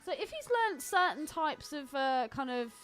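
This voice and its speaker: A woman's voice: high-pitched, lively intonation, normal speed, a bit bright. A woman co-hosting a film podcast, chatting casually in a wry, teasing manner. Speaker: podcast co-host. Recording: film score playing underneath. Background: film soundtrack music.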